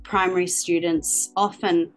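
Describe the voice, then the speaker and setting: A woman's voice: lowish voice, flat delivery, a little slow, soft background music, poor audio. A woman, a guest in an interview, telling stories about her teaching life with an easy, chatty manner. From Australia. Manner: chatty, storytelling with many ums. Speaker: guest, language teacher and author. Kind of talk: interview answers.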